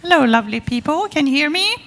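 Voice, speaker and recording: A woman's voice: sits high, expressive, quick pace, a bit bright, in a large hall. Joking woman with an Iranian accent. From Iran. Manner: joking, self-deprecating. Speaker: guest speaker. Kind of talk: talk on religion to a congregation. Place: church service.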